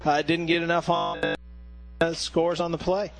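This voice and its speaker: Soft-spoken radio color commentator man. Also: fairly high pitch, flat delivery, unhurried, loud music behind the voice. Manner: easygoing, soft-spoken analysis.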